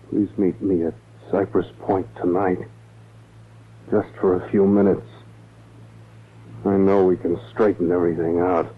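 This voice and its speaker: Slow, deep-voiced earnest lover. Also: male voice. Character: earnest man pleading in his love letter. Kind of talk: 1940s radio drama acting. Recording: tinny hissy 1940s radio recording with hum.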